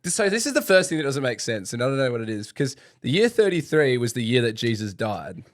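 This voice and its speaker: A man's voice: mid-range voice, sing-song, fast, dry recording, studio quality. A man co-hosting a podcast in casual chat, joking and laughing as he reads out trivia. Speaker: podcast co-host.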